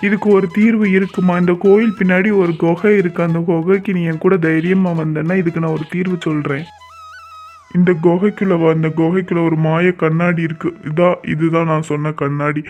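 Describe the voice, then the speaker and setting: A man acts as a mysterious, kindly temple sage in a cartoon. His voice sits rather high and he gives guidance in an avuncular way. Faint music plays behind him. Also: flat delivery, fairly quick, highs cut off, close to an everyday voice. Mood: reassuring.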